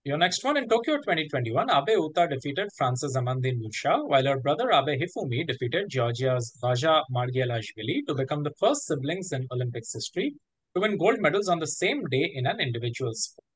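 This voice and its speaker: A man's voice: fairly high pitch, big pitch swings, fairly quick, breathy edge, no echo, rough recording. A man hosting a quiz, reading out questions and ruling on the answers. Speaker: quiz master.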